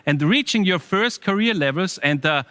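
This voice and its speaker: A man presenting as host of an online business event, speaking in a hesitant, halting way. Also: high voice, lively intonation, bright, forceful tone, no room sound, studio quality. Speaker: show host.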